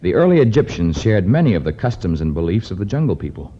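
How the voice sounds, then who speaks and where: A steady jungle hero with a low, widely swinging voice. He speaks formally and deliberately in the manner of 1950s radio adventure. The old recording is muffled. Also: slight background noise. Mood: grave, determined. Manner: formal, measured, deliberate speech. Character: steady, commanding jungle hero, grown man.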